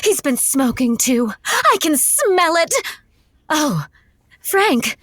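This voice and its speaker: Sly scheming melodramatic mom for comedy podcast drama, very animated, close dry sound. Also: female voice, high-pitched, slow pace, no room sound, exaggerated voice. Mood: fake hysterics. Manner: sardonic, melodramatic. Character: scheming gold-digging young mother, brash and sly. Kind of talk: comedic audio drama acting.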